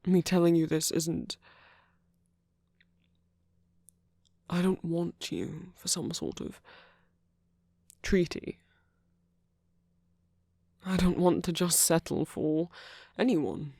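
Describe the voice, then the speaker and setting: A man playing a gentle, nervous king in an intimate ASMR roleplay, speaking formally and earnestly with soft hesitations. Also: fairly high pitch, fairly even intonation, slow, vocal fry, long pauses, no room sound, studio quality, exaggerated voice. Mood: earnest, adoring. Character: gentle, nervous king confessing love to a prince.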